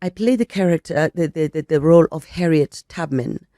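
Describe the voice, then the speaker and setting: A croaky woman in her sixties talks in a low, mellow voice, taking her time as she looks back. The sound is dry and close, with nothing of the room in it. She is a bit hoarse from a flu. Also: no echo. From South Africa. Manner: reflective, measured storytelling. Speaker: actress and guest. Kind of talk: radio interview.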